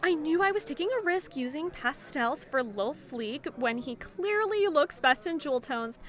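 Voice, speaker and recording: Woman as a very high, gushing cat lady. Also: expressive, normal speed, a bit bright. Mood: gushing, sweet. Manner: effusive, cooing. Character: gushing, doting, eccentric female animal lover. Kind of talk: comedic audio drama acting.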